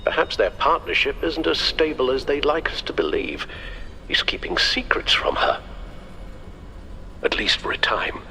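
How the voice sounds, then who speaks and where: An actor playing a loyal, worried butler and confidant in a video game, speaking formally and solemnly with careful wording. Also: male voice, a little high, big pitch swings, a little slow, vocal fry, long pauses, sharp tone, a little hiss or hum, heavily acted. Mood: grave. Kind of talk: dramatic video game acting.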